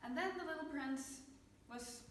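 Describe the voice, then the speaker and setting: A woman's voice: high-pitched, measured pace, a bit bright. A woman improvising a fairytale as a storyteller. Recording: very echoey room from far off, faint noise.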